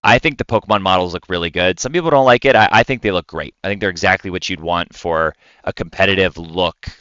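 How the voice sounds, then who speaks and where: A man's voice: sits low, fast, fairly bright tone, clear, resonant tone, no room sound, highs cut off. A man talking casually and enthusiastically, in an explanatory way, as a podcast co-host on video games.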